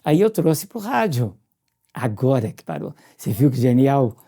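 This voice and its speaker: A radio presenter with a Brazilian accent, reminiscing casually in an expressive storytelling way and often turning questions to the listener. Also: mid-range voice, normal speed, gravelly, a crowd in the background.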